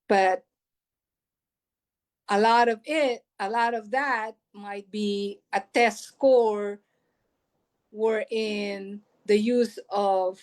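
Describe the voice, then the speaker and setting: A woman's voice: mid-range voice, a little mellow. A woman from the Philippines with a Filipino accent, her first language Filipino, answering a conference question in a reflective way, thinking aloud. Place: United States.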